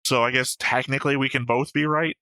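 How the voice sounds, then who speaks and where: Bright-voiced man bantering on a reaction show. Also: medium pitch, fairly even intonation, brisk, clear, resonant tone, no echo. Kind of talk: casual banter and comment reading.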